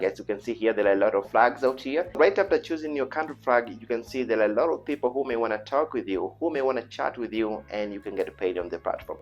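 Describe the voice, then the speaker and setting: Low-voiced Tanzanian man, dull recording over music. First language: Swahili. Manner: earnest, repetitive, persuasive. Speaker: online video host. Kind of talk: informal tutorial voice-over. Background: background music.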